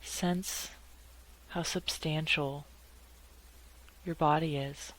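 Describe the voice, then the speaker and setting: A woman leading a guided meditation in a calm, measured way, leaving long pauses between short phrases. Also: deep voice, poor audio.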